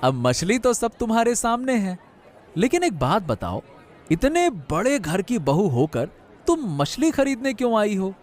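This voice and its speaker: A man's voice: high voice, sing-song, a little slow, some chatter behind. A man voicing an older fish seller in a cartoon story, who talks in a blunt, questioning way. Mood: puzzled. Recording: soft background music.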